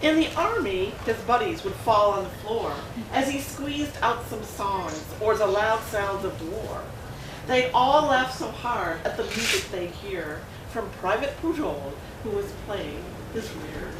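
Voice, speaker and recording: A woman author giving a dramatic, expressive read-aloud of a rhyming picture book at a gallery event. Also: mid-range voice, a little slow. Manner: expressive rhyming delivery with bouncy emphasis. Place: art gallery event room. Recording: echoey room, distant, noisy.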